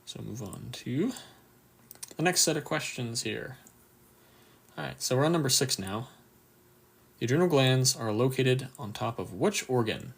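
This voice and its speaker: Man, gravelly croaky voice, mid pitch, sleepy, casual quiz tutor, encouraging. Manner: encouraging, reads out answer options and viewer replies. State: just woke up, a bit tired. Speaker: anatomy tutor running a live quiz. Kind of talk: casual livestream quiz review.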